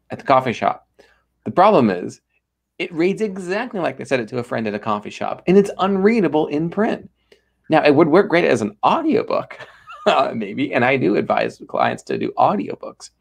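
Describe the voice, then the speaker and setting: A man's voice: medium pitch, creaky. A man speaking as a guest in a podcast interview, talking with energy and emphasis and acting out little quoted exchanges. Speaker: ghostwriter guest.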